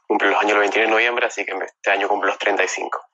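A man's voice: on the low side, little pitch movement, rapid-fire, bright, forceful tone, lo-fi sound. An Argentine man in his thirties chatting casually as an interview guest, rambling and correcting himself as he goes. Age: 30s. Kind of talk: casual chat. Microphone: phone with earphones on a livestream.

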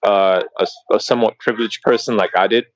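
A man's voice: on the low side, fairly even intonation, quick pace, lo-fi sound. A man in his early thirties from Colorado, a podcast guest chatting casually in a laid-back way, full of fillers and restarts and calling the host "man". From Colorado, USA. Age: early 30s. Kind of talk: casual interview chat.

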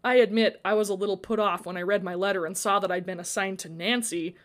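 A woman's voice: mid-range voice, steady tone, fast, natural voice. A woman voicing a sincere, slightly awkward, friendly boy in a story. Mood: apologetic.

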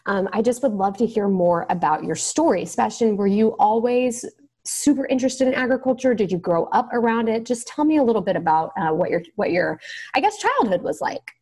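A woman's voice: mid-range voice, expressive, brisk, darkish tone, slightly rough. A woman hosting a podcast, introducing the show and interviewing a guest with bubbly enthusiasm. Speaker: podcast host. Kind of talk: scripted intro then casual interview.

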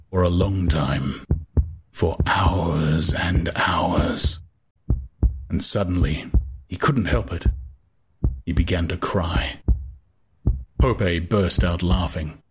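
A man narrating a literary audiobook in a dramatic, intense way, with deliberate phrasing. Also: deep voice.

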